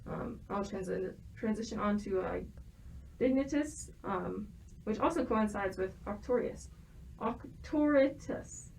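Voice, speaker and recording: A woman's voice: medium pitch, measured pace, creaky, a little mellow, a little hiss or hum, poor audio. A young woman, a student, explaining a topic from prepared notes, with frequent hesitations and restarts. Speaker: student guest expert.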